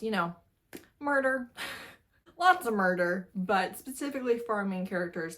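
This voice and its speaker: A woman's voice: fairly low pitch, a bit bright. A woman giving a casual, chatty book review in an informal, emphatic way, often repeating phrases for emphasis.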